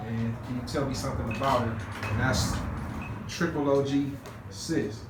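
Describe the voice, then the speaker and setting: A man interviewing a guest, calmly prompting with names and short questions. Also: mid-range voice, moderate pace. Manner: calm, prompting, asks by names. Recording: echoey room, distant mic, noise.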